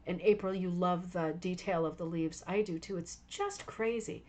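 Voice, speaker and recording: A woman's voice: on the low side, moderate pace, a little mellow. A woman hosting a rug hooking club stream, tired and losing her voice, talking enthusiastically and rambling while chatting with viewers by name. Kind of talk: live stream show-and-tell chat.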